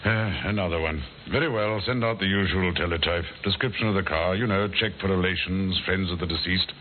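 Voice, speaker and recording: Guy doing old radio police inspector, quick and clipped, bossy, low voice, hissy tinny audio. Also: natural voice. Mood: businesslike. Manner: clipped, authoritative. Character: brisk, businesslike male Scotland Yard inspector. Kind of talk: 1940s radio drama acting.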